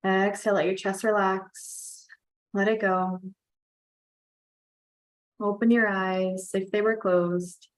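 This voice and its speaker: A woman leading a guided relaxation and breathing exercise, giving calm, unhurried instructions. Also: medium pitch, poor audio.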